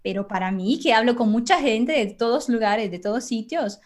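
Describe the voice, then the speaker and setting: A woman who teaches Spanish chats as a podcast guest in a slightly high voice. She talks animatedly and often laughs between phrases. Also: lively intonation, moderate pace. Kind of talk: casual podcast conversation.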